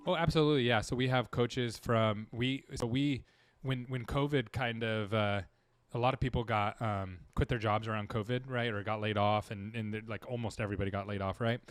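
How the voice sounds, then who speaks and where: A man's voice: fairly low pitch, steady tone, brisk, no room sound. A man, a dental marketing guest in a casual interview, talking earnestly with many restarts. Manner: earnest, rambling, full of restarts.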